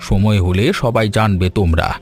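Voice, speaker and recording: A man voicing a mysterious, wise old man who speaks slowly and cryptically in an animated story. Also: fairly low pitch, faint music behind. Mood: calm, secretive. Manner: slow, unhurried, cryptic.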